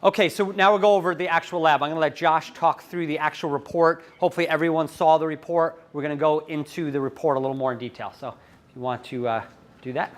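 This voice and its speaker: Man presenter, 40s, higher voice, runs through lab tests briskly at a seminar. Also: steady tone. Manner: brisk, matter-of-fact listing. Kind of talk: conference presentation. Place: hotel conference room.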